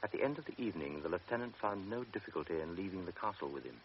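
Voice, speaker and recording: A man narrating a radio drama in a measured, literary storytelling style. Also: low-pitched, animated, muffled recording, slight background noise.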